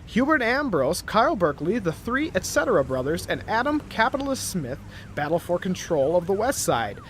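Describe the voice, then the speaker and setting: Bombastic, mock-grave superhero serial narrator, performed, very animated intonation, slight noise behind. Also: mid-range voice, a little fast, lightly acted. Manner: theatrical, mock-serious. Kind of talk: tongue-in-cheek old-time radio serial narration.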